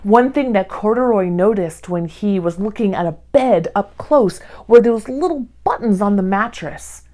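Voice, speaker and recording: A woman hosting a read-along, speaking to young children in simple, clearly phrased storytime style. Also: medium pitch.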